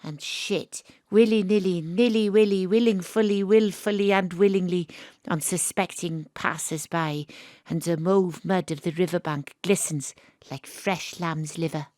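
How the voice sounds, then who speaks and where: A woman's voice: on the low side, steady tone, a little slow, darkish tone, no room sound, studio quality, lightly acted. A woman playing a bookish young Welsh daughter and amateur detective in an audio drama, speaking and narrating with a love of wordplay. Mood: lyrical, wry. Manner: lyrical, wordplay-loving.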